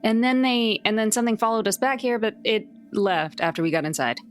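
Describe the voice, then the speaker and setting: A woman's voice: fairly high pitch, a little fast. A woman playing a tabletop roleplaying game, joking casually in a wry way.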